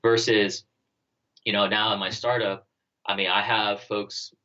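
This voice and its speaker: The guest on a career podcast, a man with a forceful low voice, working out his answers as he goes, on slightly dull audio. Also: little pitch movement. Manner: halting, thinking aloud, heavy use of "like" and "you know". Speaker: interview guest, startup co-founder and former army officer. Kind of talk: conversational podcast interview answers.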